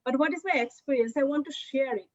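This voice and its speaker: A woman from Bangladesh, sharing a personal story on a panel in halting, earnest English, searching for words. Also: high-pitched. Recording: muffled lo-fi call audio.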